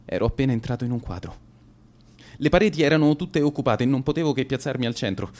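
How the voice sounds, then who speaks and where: A man's voice: mid-range voice, animated, fast talker, darkish tone, lightly acted. An actor playing a cowardly teenage schoolboy who boasts as an accidental bully, telling his story in a radio drama monologue with stammered hesitations. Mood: tense, rueful.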